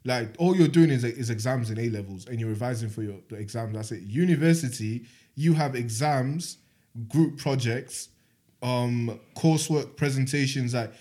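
A man's voice: mid-range voice, moderate pace. Slangy young man telling stories. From Manchester, England. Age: 20s. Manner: slangy, animated storytelling. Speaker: podcast co-host. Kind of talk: casual chat.

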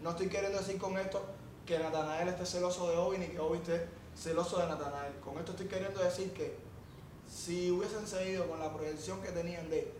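A man's voice: sits high, a little fast. A man co-hosting a podcast, explaining music industry feuds at length in casual chat. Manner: explains at length, animated when stressing points. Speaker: podcast co-host. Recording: roomy echo, distant from mic, faint noise.

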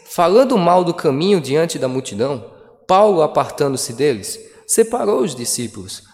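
A man preaches to his congregation in a lofty, high-pitched voice, leaning into dramatic pauses and emphatic phrasing. His words ring out through a church hall, trailing a long echo. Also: a little mellow. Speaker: pastor. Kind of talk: sermon.

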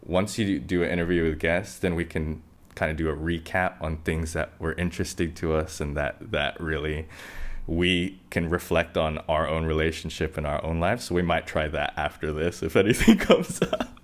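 A man's voice: very deep voice, steady tone, moderate pace. A young man from Hong Kong hosting a casual podcast interview, chatty and upbeat, laughing often. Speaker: podcast host.

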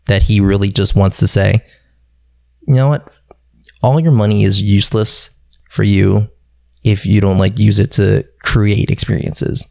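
A young man from Philadelphia, telling stories about growing up in a relaxed, rambling way with casual swearing. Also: lowish voice, vocal fry, dry recording, clean studio sound. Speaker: guest. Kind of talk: casual conversation.

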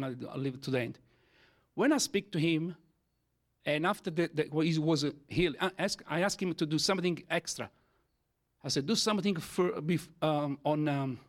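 A man with an Italian accent, his first language Italian, tells a church testimony in English. He talks in halting bursts, with many fillers and restarts. His voice sits a little high for a man. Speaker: church speaker giving testimony. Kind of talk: testimony sermon.

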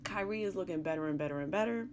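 A middle-aged woman hosting a sports show in casual, rambling talk, frank and joking, with a raspy, somewhat hoarse voice. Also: on the low side, animated, racing, background noise.

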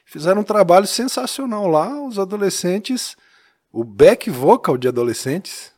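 A man's voice: high voice, sing-song, moderate pace, darkish tone. A man from Minas Gerais with a Mineiro accent, telling his story casually as a podcast guest, laughing often and tagging lines with "né?". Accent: Mineiro Brazilian Portuguese. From Minas Gerais, Brazil. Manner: chatty, laughs often, many "né?" tags. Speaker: church youth leader, podcast guest.

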